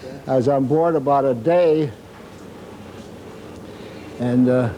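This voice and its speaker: A man's voice: medium pitch, takes it slowly, warm tone, a little hiss or hum, rough recording. Elderly man reminiscing aboard an old ship. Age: older. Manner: rambling, self-correcting anecdotes with chuckles. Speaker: Navy veteran revisiting his ship. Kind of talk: reminiscing storytelling. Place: aboard an old destroyer, indoors and on deck.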